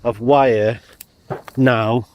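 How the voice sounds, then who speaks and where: A man's voice: mid-range voice, steady tone, extremely slow, dull audio. British man vlogging casually over background noise. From United Kingdom. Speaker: amateur radio vlogger. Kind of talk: casual vlog chat. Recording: background noise, car and outdoor ambience.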